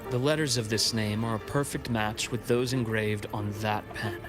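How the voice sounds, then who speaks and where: Guy voicing a composed detective type in a video game, measured and precise, music behind. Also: lowish voice, fairly even intonation, close to an everyday voice. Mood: measured, analytical. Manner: measured, deliberate, articulate. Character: composed, analytical male investigator with a grudge. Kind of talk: scripted video game dialogue.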